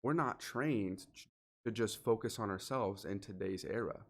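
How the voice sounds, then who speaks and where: A man's voice: lowish voice, moderate pace. A man hosting a solo motivational podcast, talking earnestly and directly to the listener, a little sniffly and congested from allergies. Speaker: podcast host.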